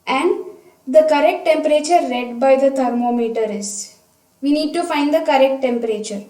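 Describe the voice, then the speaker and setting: A woman's voice: sits high, normal speed, dark tone. A woman teacher methodically explaining a worked problem step by step, talking through each stage aloud. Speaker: science teacher. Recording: roomy echo, distant microphone.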